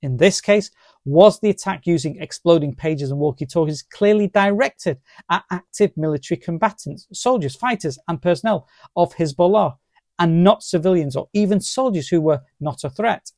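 A middle-aged man delivers scripted explanatory narration. His tone is mellow and his manner is formal and deliberate, with emphasis on key terms. The sound is close and dry, with very little room in it. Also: fairly high pitch, lively intonation, dry recording. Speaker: presenter of legal explainer.

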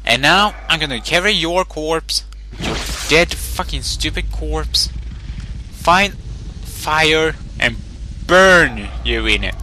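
A man's voice: a little high, expressive, slow, pushed and bright, a little hiss or hum. A young man with a Swedish accent, commentating his gameplay in a crude, swearing rant. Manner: crude, angry ranting with swearing. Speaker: let's play gamer.

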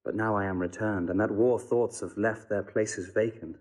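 Male actor as young noble soldier, lovestruck, earnest, husky lowish voice, classical audio play. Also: fairly even intonation, normal speed, darkish tone, natural voice. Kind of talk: classical Shakespearean audio drama acting.

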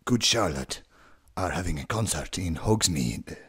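A man voicing a brooding, sensitive goth wizard boy for a story reading, terse and gravelly. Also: lively intonation, unhurried, fairly bright tone, full character voice. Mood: brooding, low. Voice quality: very deep, gravelly, husky.

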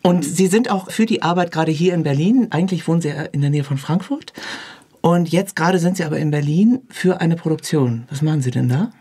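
Husky-voiced man hosting a podcast. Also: on the high side, fairly quick, warm tone, no echo. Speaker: podcast host. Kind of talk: conversational interview hosting. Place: recording studio.

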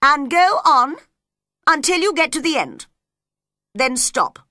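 A bossy storybook king, acted out by a woman, blustering and commanding. The voice is forceful and lively, hammering out each order with emphasis. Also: medium pitch, slow pace, no room sound, clean studio sound, full character voice.

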